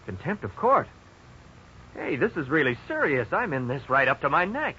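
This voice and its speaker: A man's voice: fairly high pitch, very animated, moderate pace. An actor playing an anxious but earnest man in his twenties in debt, in a 1950s radio drama style, hesitant and exclamatory when troubled. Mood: alarmed, resolute. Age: 20s. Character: worried young debtor and husband, twenty-six, anxious but earnest. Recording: dull, noisy 1950s radio recording.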